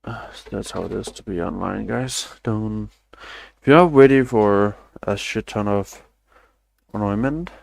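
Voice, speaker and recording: A man's voice: on the low side, measured pace, creaky, a little mellow, no echo. A young man, chatting loosely on a stream, rambling and swearing casually with self-deprecating asides. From Denmark. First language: Danish.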